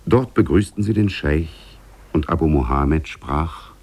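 A man narrating an Arabian Nights tale in a 1950s radio play, measured and deliberate. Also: extremely low pitch, a little mellow, breathy edge, exaggerated voice.

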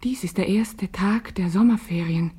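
An actress playing an intense, awestruck girl in a literary radio monologue, hushed and deliberate. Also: medium pitch, breathy edge. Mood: hushed, awed.

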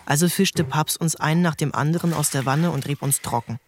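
A man narrating a literary novel in a measured, storytelling manner. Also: fairly high pitch. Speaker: audiobook narrator.

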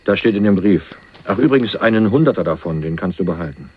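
An actor playing a confident, self-satisfied young schemer who talks casually and haltingly in a German radio crime drama. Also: male voice, low voice, expressive, husky. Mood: instructing, casual. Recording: muffled, phone-like 1980s radio recording.

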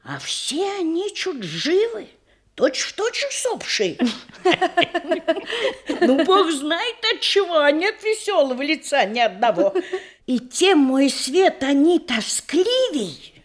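An actress playing a talkative, affectionate elderly country matron in a radio verse comedy, with gushing, old-fashioned folksy speech. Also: female voice, sits high, sing-song, slow, heavily acted. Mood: merry, nostalgic.

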